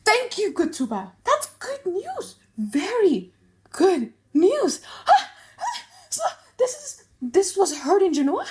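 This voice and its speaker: Audiobook drama: vengeful moneylender woman performing, high-pitched, volatile, exclamatory, gloating and wailing. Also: huge pitch swings, slow pace, exaggerated voice. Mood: gleeful, overwrought. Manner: theatrical, exclamatory, gasping and wailing. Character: vengeful moneylender father, excitable, bitter, gleeful at rival's ruin.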